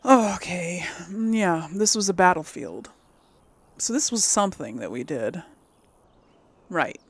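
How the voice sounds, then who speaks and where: A woman's voice: fairly low pitch, animated, unhurried, slightly rough. A woman casually commentating on a game, rambling and thinking aloud in an easily amused, chatty way. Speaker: gameplay host.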